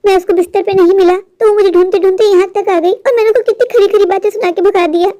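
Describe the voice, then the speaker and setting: A woman voicing an earnest, gullible village husband in a cartoon, wordy and easily flattered. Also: very high voice, fast. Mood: fretful. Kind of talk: cartoon dialogue and monologue.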